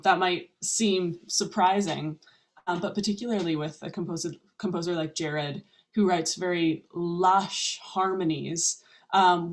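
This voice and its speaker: A woman from Vancouver, a string quartet musician, answering interview questions thoughtfully with frequent pauses. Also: lowish voice. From Vancouver, Canada. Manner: thoughtful, with frequent ums and restarts.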